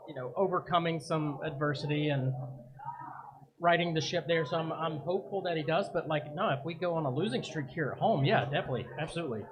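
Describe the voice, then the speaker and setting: A man co-hosting a live soccer show, talking in a relaxed, easygoing way. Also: fairly high pitch. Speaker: podcast co-host. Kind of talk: live casual soccer chat. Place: busy brewery. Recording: noisy live bar recording. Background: crowd chatter.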